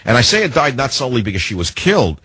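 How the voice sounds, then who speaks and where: A radio talk show host, a man, delivering an indignant monologue full of rhetorical questions, slowing down to hammer his points. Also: mid-range voice, sing-song, bright, forceful tone, husky, dry recording. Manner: slows down to hammer lists and key phrases.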